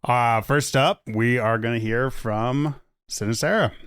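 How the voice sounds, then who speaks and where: Relaxed male podcast host, dry sound. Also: mid-range voice, takes it slowly, smooth, dry recording. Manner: relaxed, conversational, with fillers. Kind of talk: casual podcast chat.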